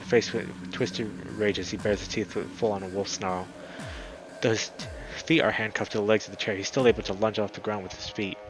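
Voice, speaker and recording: Low-voiced man narrating with drama. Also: a little hiss or hum, rough recording. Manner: dramatic, excitable, stumbles over words while reading. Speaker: streamer, host of a let's play. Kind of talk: expressive read-aloud of a visual novel. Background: background music.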